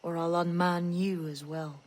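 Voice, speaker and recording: A woman's voice: on the low side, little pitch movement, a little slow, a little mellow, natural voice. A woman voicing a tough, sly young pirate who passes as a man, teasing and menacing, in a video game. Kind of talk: video game cutscene acting.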